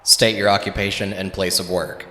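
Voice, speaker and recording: Guy doing officious dry police officer, deep voice, echoey reverb, deadpan questioning in comedy podcast. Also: normal speed, background noise, lightly acted. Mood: deadpan, pressing. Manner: deadpan, formal. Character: officious, dry male space police interrogator. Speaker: voice actor. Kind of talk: audio comedy drama acting. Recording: echoey, reverberant room sound.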